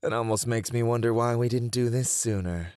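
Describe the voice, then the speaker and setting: A man's voice: medium pitch, fairly even intonation, fairly quick, darkish tone, clean tone, no echo, studio quality, close to an everyday voice. A man playing a flirty, cheeky hare-eared mafia boss in an ASMR roleplay, teasing and playful as he charms his rivals.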